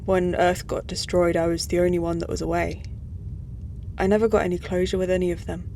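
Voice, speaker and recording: Earnest low-voiced woman acting a space traveller. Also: flat delivery, brisk, gravelly, darkish tone, a little hiss or hum. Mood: sad, confiding. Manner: earnest, confiding. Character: troubled, grieving human woman, a displaced space traveller. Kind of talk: science-fiction audio drama acting.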